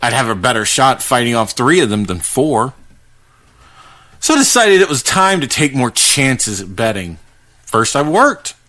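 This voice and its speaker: Male storyteller, mid-pitched, first-person horror narration, conversational and halting with dramatic pauses. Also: big pitch swings, bright, forceful tone. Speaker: podcast host reading a horror story.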